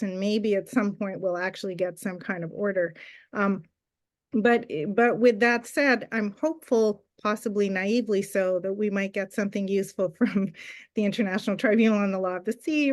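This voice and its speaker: A woman from Canada giving measured, earnest academic remarks on a webinar panel over a video call, with occasional fillers. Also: mid-range voice.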